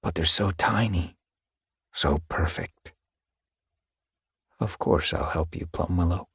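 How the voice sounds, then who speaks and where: A low, husky tomcat's voice, grieving but steady, pressing urgently and kindly, as a male narrator reads him. It sits a bit lower and rougher than usual, recorded dry and close. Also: slow, dry recording, exaggerated voice. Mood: quiet, moved. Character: young male warrior cat, grieving, steady and caring. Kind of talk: audiobook character voice.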